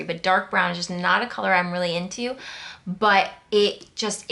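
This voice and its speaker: A woman's voice: fairly low pitch, vocal fry, sharp tone. An American woman from Connecticut talking casually through a planner walkthrough, wandering into chatty asides. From Connecticut, New England, United States. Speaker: planner channel host.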